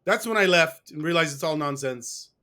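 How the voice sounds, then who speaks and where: A man's voice: a little high, lively intonation, moderate pace, bright, forceful tone. A man being interviewed, telling a long personal story in a rambling, casual way. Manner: rambling, with restarts and fillers. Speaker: guest. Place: West.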